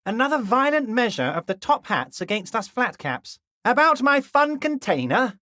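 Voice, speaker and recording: A man voicing a scheming middle-aged union boss in a video game, folksy and wheedling, friendly on the surface with a quiet threat underneath. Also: very high voice, very animated, moderate pace, no room sound, clean studio sound, heavily acted. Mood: wheedling menace. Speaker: game character voice.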